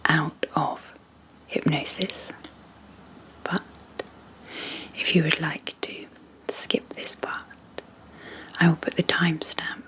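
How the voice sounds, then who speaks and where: A woman speaking in a breathy half-whisper as an ASMR host, slowly and gently, with long pauses, introducing sleep hypnosis. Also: low voice, low-quality recording.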